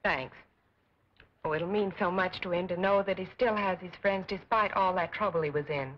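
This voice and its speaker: An American woman playing a busy, gently scolding young sweetheart in a 1940s film serial style. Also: on the low side, moderate pace, natural voice. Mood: lightly scolding. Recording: muffled 1940s film soundtrack, faint noise.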